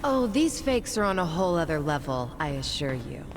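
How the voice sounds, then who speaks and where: A woman's voice: sits low, big pitch swings, moderate pace. A woman acting a confident, flirtatious female crime boss in an English video game dub, with a poised, teasing manner. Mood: boastful, assured. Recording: clear background ambient noise.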